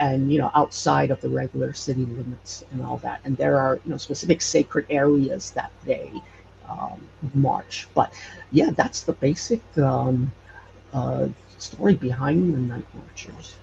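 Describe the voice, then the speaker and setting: A man from Hawaii speaking as an interview guest, explaining local legends haltingly as he searches for words. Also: mid-range voice, creaky, dark tone. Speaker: podcast guest. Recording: dull, noisy audio.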